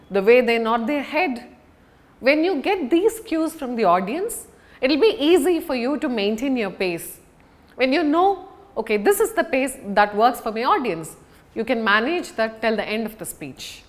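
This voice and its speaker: Woman instructor with very lively, higher pitch. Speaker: public speaking instructor. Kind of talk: scripted lesson to an audience on video.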